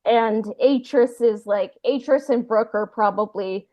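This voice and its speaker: A woman's voice: on the high side, unhurried. Woman chatting casually, dull lo-fi sound. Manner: hesitant, frequent "like" and "you know". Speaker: podcast co-host. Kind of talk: casual chat.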